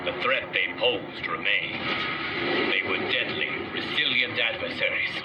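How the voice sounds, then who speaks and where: An actor playing a solemn, weary godlike guardian of the timelines, narrating game cutscenes in a grave, formal, measured way. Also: male voice, on the low side, dull audio, heavy background noise, close to an everyday voice. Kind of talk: scripted dramatic cutscene narration. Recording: loud music over the voice. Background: loud game music.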